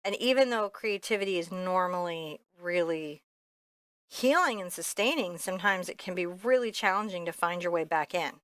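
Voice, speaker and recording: Low-voiced woman teaching painting, clean and dry. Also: moderate pace, a bit bright, no room sound. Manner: candid, informal, rambling asides. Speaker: painting instructor. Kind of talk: casual step-by-step painting tutorial, thinking aloud.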